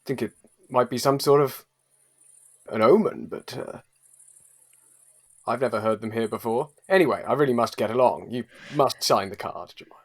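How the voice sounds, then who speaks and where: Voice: mid-range voice, moderate pace, long pauses, lightly acted. An actor playing an earnest, awkward hospital radiologist with formal, hesitant phrasing in an improvised comedy audio drama.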